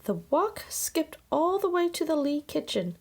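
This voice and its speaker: A woman's voice: sits high, sing-song, unhurried, vocal fry, a little mellow. A woman reading a children's picture book aloud as scripted narration. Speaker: storybook reader.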